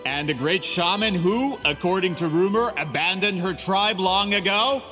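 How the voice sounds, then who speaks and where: A man's voice: high-pitched, moderate pace, close to an everyday voice. A man voicing a greedy, scheming male printing merchant in a game cutscene, smug and scornful. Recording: game audio with reverb and music.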